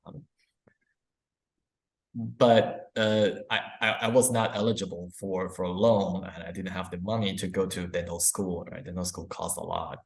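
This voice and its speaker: A man's voice: deep voice, flat delivery, normal speed, gravelly, a little mellow. A man from Taiwan and Belize, a professor answering interview questions in an informal, explanatory way. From born in Taiwan, grew up in Belize. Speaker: psychology professor, interview guest. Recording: roomy, echoey interview sound.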